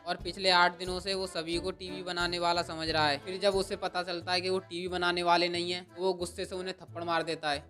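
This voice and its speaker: A man acts out a hospital doctor in a horror cartoon, his voice notably high for a male. He is matter-of-fact and in charge, with faint music behind him. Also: level tone, fairly quick, few pauses, bright, forceful tone. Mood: instructing. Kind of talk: cartoon horror story voice acting.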